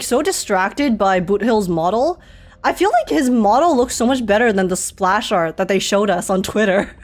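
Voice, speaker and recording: A young woman giving casual, teasing, slangy live reactions as a game streamer. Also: mid-range voice. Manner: playful, teasing, giggly, slangy.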